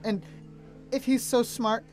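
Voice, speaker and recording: A man's voice: extremely high pitch, steady tone, slow, a little mellow, faint music behind. An actor playing a mafia hitman who poses as a rookie police officer in a radio drama, slyly calculating. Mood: nervous, incredulous. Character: mafia hitman posing as nervous rookie cop, slyly menacing.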